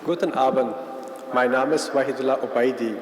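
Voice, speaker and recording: A man from Afghanistan with an Afghan accent, telling his own story, halting and searching for words with many fillers. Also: mid-range voice, a little hiss or hum. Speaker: former military interpreter giving testimony. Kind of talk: personal account at a concert event. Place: large church. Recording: echoey church hall from far off.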